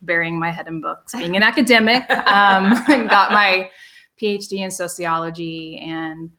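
A woman from southwest Missouri, a program director, speaking in a measured interview. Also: on the low side, sharp tone. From Southwest Missouri, USA. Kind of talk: casual interview.

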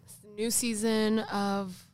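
A woman's voice: mid-range voice, little pitch movement, slow pace, a little mellow, clear, resonant tone. A young woman in college hosting a casual podcast chat, playful and full of slang. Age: young adult. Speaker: podcast host.

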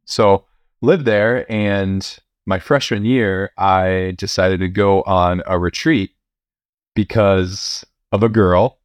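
A young man, a priest, telling his story in a relaxed interview, with fillers and restarts. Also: sits low, slow, no room sound. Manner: relaxed, many fillers and restarts, occasional laughs. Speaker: priest and university chaplain.

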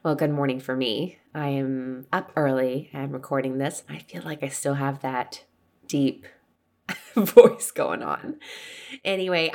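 A woman hosting a podcast, upbeat and laughing easily as she introduces the episode. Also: medium pitch. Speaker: podcast host. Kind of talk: show intro.

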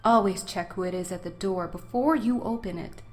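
A woman's voice: medium pitch, normal speed, darkish tone, natural voice. A woman acting in an audio drama as a protective, teasing mother who fusses affectionately and speaks bluntly. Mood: fond, concerned. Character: protective, teasing mother of a blind college student.

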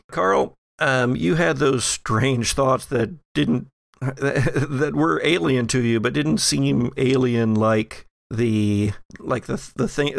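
A man narrating a horror tabletop game as game master, phrasing the scenes deliberately to his players. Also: mid-range voice, creaky, no echo, clean studio sound.

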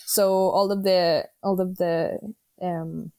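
A young woman from Greenland, a native Danish speaker, answering interview questions in English with many pauses and restarts. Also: lowish voice, little pitch movement, warm tone, no room sound. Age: young adult. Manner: hesitant, many fillers and restarts. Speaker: guest athlete. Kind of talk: casual interview.

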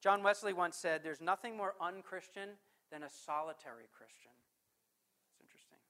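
A man preaching a sermon as pastor. He puts questions to the congregation, slows down to stress scripture, and swings from forceful to hushed. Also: high voice. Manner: emphatic preaching with rhetorical questions.

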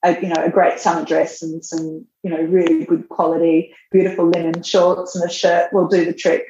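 A woman's voice: on the low side, level tone, normal speed, mellow, slight background noise. A middle-aged woman, a magazine editor, talking casually in a podcast interview, laughing often and restarting her sentences. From Australia. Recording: roomy, dull remote audio.

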